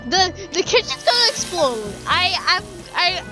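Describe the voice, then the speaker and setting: High-voiced, animated woman reacting to a game. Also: unhurried. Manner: playful, reactive. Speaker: streamer playing a video game. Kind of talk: casual gameplay reaction. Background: loud game music.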